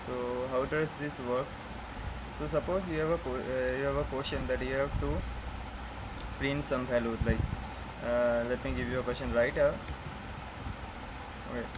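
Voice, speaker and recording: A man from India walks through a coding lesson in a mid-pitched, everyday voice, stopping often to restart his sentences. Loud background noise from an air conditioner runs under the whole recording. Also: fairly even intonation, dull audio. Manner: halting, many fillers and restarts. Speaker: programming tutor.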